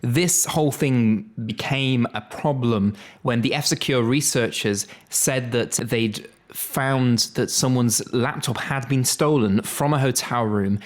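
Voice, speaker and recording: A man's voice: mid-range voice, slow, hardly any pauses. A man presenting a tech podcast as host, chatty and upbeat in a semi-scripted style.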